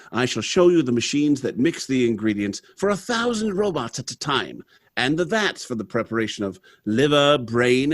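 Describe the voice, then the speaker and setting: An actor playing a proud, unsentimental robot factory manager in an old-time radio drama, theatrical and confident as he explains things. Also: on the high side. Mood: breezy, boastful. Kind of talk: live recreation of 1930s radio drama.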